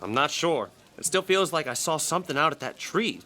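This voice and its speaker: A man's voice: on the high side, sing-song, brisk, sharp tone, a little hiss or hum. A man playing a weary, guarded gang leader in a period audio drama, curt, dry and exasperated. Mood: exasperated, brooding.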